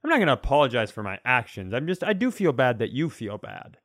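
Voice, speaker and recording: A man's voice: medium pitch, sing-song, fast, fairly bright tone, dry recording, clean studio sound. A man co-hosting a comedy podcast, bantering casually in a wry, mock-serious way.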